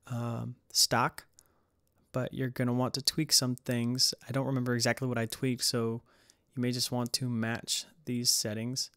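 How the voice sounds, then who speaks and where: A man hosting a casual music production tutorial, explaining in a hesitant, halting way. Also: mid-range voice, a bit bright, dry recording, studio quality. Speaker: tutorial host.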